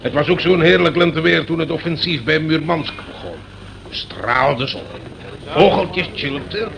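Creaky, jovial old general. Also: male voice, fairly high pitch, lively intonation, unhurried, crowd noise, poor audio. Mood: jovial, nostalgic. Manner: chuckling, repetitive mumbled yeses. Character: jovial, nostalgic old army general, war-loving. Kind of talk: radio play acting.

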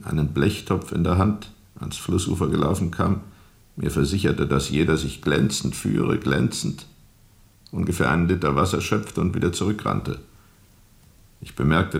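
An actor playing a grave, seasoned sea captain who recounts a jungle river journey in a deliberate, brooding way for a radio drama. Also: male voice, a bass voice, gravelly. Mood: brooding recollection. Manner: deliberate, brooding, measured storytelling. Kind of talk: radio drama narration, recounting under questioning.

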